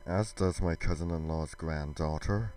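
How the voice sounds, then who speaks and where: A man playing a stern, spiteful god who speaks in solemn, halting pronouncements in an amateur scripted roleplay. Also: deep voice, darkish tone, voice pushed down. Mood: cold, menacing. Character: stern, spiteful male god passing judgement.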